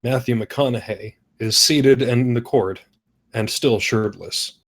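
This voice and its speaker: A man's voice: mid-range voice, slow pace. A man narrating the stage directions at a comedy script table read.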